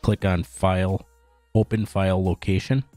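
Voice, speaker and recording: Male tutorial narrator, very low and mellow, plain instructional delivery. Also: level tone, normal speed, no room sound, soft background music. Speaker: tutorial host. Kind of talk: step-by-step software tutorial narration.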